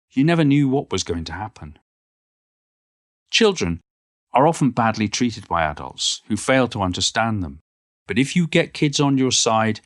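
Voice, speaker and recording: A man's voice: fairly low pitch, no echo, clean studio sound, natural voice. A man playing an elderly poet and former schoolteacher, reminiscing in a modest, wry and reflective monologue. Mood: fond, enthusiastic. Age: older. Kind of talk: reflective first-person monologue, read from a book.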